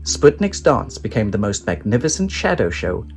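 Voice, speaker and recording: A man reading a children's picture book aloud, narrating in an animated, expressive way. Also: lowish voice, moderate pace, soft background music. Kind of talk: read-aloud storytelling.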